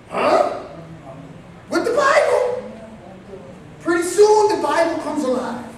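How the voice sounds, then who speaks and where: A middle-aged man preaches with a high, lively voice, acting out little scenes as he moves around. He is picked up by a far camera, so the small room rings with heavy echo and a faint hiss. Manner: animated, acts out quotes, gestures widely while pacing. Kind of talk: storytelling sermon. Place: small indoor meeting room.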